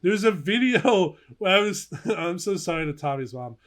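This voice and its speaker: A young man co-hosting a casual podcast, telling stories in an animated, giggly way. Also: high voice, normal speed. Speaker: podcast co-host. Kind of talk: casual podcast chat, reminiscing.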